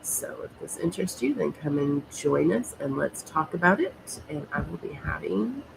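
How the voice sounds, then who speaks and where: A woman hosting a talk show while she has a cold, sounding a little snuffly and speaking haltingly with many fillers and pauses. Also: sits low, animated, gravelly, background noise. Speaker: host. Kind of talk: casual chat.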